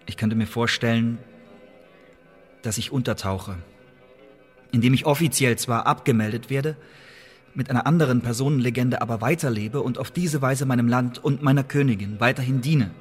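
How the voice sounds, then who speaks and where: A male actor plays an imprisoned English secret agent and writer in a radio drama. He is mid-pitched, persuasive and loyal, choosing his formal words with deliberate care. Music runs behind the voice. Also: close to an everyday voice. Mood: earnest, persuasive. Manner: measured, formal, deliberate phrasing. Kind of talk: German radio play acting.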